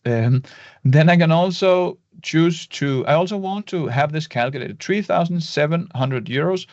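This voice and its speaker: A man narrates a screen-recorded spreadsheet lesson in a relaxed, step-by-step way, often pausing on fillers. His voice sits at an ordinary middle pitch. The recording is dry with no room sound, and a little dull in tone. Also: dry recording. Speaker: Excel tutorial instructor. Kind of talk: screen-recorded software tutorial. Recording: dry, slightly dull voice-over.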